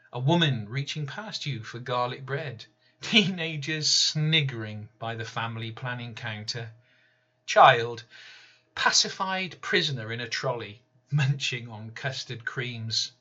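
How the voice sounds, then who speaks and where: A man reading his own poem aloud in a slow, deliberate, measured way. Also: medium pitch, pushed and bright, highs cut off. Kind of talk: spoken-word poetry reading.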